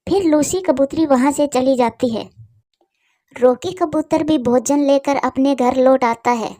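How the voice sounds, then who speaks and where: A woman's voice: high voice, measured pace, warm tone, dry recording, clean studio sound. A woman storyteller reading scripted narration for a cartoon tale.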